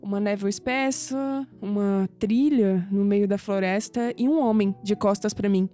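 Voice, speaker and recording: An actress playing a young, proud, skeptical woman in an audio drama, with a Brazilian accent and an expressive delivery that turns hesitant when flustered. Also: mid-range voice, measured pace, soft background music, lightly acted. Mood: hesitant, troubled. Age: young adult.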